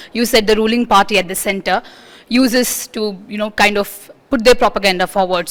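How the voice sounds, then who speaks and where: A woman's voice: fairly high pitch, fairly bright tone. A woman with an Indian accent interviewing as a journalist, asking direct, probing questions.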